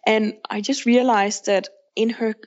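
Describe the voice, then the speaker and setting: A woman's voice: fairly high pitch, fairly even intonation, unhurried, darkish tone, lo-fi sound. A woman from Denmark with a Danish accent, speaking English as her second language, frankly answering questions as a guest author in a remote podcast interview. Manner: frank, candid, plainspoken.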